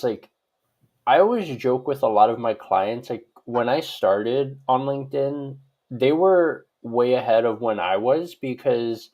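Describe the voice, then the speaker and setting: A man's voice: medium pitch, a little mellow. Male interview guest from Hawaii, mid-range voice, conversational and wandering, explains as an expert with frequent verbal tags. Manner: rambling, peppered with "like" and "right?". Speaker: guest expert on social media profiles.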